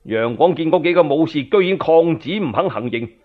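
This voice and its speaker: A man's voice: on the high side, expressive, moderate pace, narrow, tinny audio. A man with a Cantonese accent narrating a dramatic tale as a solo radio storyteller.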